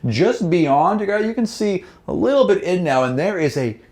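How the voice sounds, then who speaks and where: A man's voice: high-pitched, animated, moderate pace, darkish tone. A man acting as game master, improvising fantasy narration in a casual, conversational, occasionally profane way. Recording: roomy, echoing room sound.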